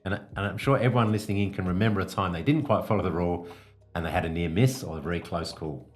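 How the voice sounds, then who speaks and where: A man hosting a business coaching podcast, explaining things in a measured, instructive way. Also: deep voice, soft background music.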